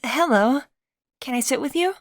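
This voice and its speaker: A woman voicing a chatty, curious young woman, pitched up. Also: animated, moderate pace, no room sound, studio quality. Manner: chatty, upbeat, probing. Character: friendly, curious, forthright young woman, supportive best friend.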